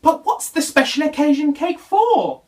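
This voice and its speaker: A man's voice: very high voice, big pitch swings, normal speed, a little mellow, pitched above the performer's own voice. A man voicing a small, earnest young bear in a children's storybook, recorded at some distance. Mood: curious. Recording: voice distant from the mic.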